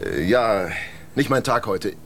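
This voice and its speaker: A man's voice: lowish voice, animated, measured pace, slight background noise. An actor playing a nervous, agitated small-town man in a radio drama. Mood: startled, flustered. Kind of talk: German radio drama dialogue.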